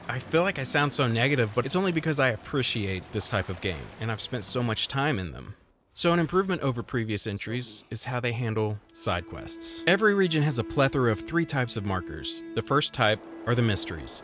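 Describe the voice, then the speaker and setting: A man's voice: mid-range voice, very animated, brisk, fairly bright tone, soft background music. A man reading a scripted video game review voice-over in a wry, conversational way, with self-deprecating asides.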